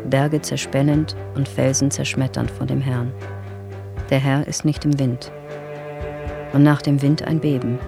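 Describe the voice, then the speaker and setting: A performer playing a solemn reader of last notes in a radio play, with a gravelly voice and measured, poetic delivery. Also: medium pitch, lightly acted.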